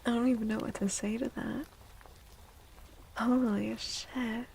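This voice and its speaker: A woman speaking softly and breathily, often near a whisper, in an unhurried, gentle ASMR livestream chat. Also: on the high side, fairly even intonation, vocal fry, slight background noise. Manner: gentle, slow, unhurried, addressing chatters. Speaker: livestream host and tarot reader.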